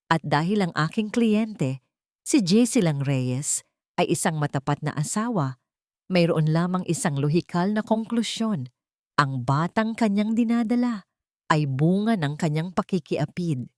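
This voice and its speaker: A woman's voice: fairly low pitch, lively intonation, unhurried, mellow, no echo, studio quality. Woman voicing a confident, theatrical male courtroom lawyer. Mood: accusing, confident. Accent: Filipino. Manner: formal, dramatic courtroom rhetoric.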